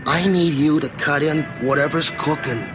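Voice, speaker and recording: An actor playing a cynical, scheming young hustler in old-time radio drama, slangy and insinuating. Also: male voice, a little high, steady tone, moderate pace, music in the background, lightly acted. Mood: pushy, taunting.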